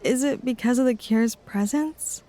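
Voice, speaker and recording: A man voicing a timid, easily startled young woman who works at a hotel, in a breathless falsetto. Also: unhurried, warm tone. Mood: uneasy.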